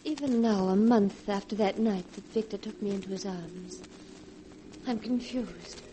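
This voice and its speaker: A woman's voice: medium pitch, unhurried, warm tone, close to an everyday voice. An actress playing an earnest, conflicted young woman in a fervent 1940s radio drama style. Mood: confused, brooding. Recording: noisy vintage 1940s radio recording.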